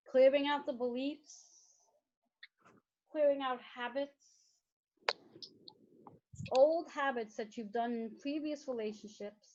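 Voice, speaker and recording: Meditation guide: woman with a slightly high voice, soothing and repetitive, on a dull lo-fi recording. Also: a little mellow, a little hiss or hum. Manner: slow, soothing, repetitive phrasing.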